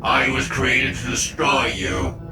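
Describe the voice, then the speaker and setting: A man's voice: medium pitch, monotone, slow. A man voicing a ruthless, hulking killer robot in a fan audio drama, stiff, declarative and menacing. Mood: cold menace. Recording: echoey, distant, loud music underneath.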